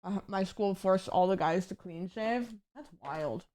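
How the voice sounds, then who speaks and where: A woman's voice: mid-range voice, a little slow, darkish tone, no echo. A woman in her late twenties, a streamer chatting casually with her viewers, rambling with lots of fillers. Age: late 20s.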